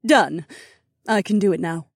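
A woman's voice: mid-range voice, a little slow, gravelly, slightly rough, dry recording, studio quality. A woman voicing a helpful, capable young princess mage in fantasy audiobook dialogue. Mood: focused, confident.

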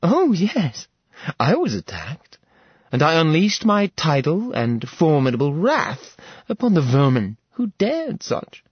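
A regal, menacing goddess, as a male narrator puts her on, taunting her visitors with theatrical relish and dropping to a hushed, threatening whisper. The voice is somewhat husky and dry, with a slightly dull, muffled sound. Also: on the high side, wildly swooping pitch, slow, no echo, voice pushed up, exaggerated voice. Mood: gloating. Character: regal menacing goddess woman, bloodthirsty and mocking.

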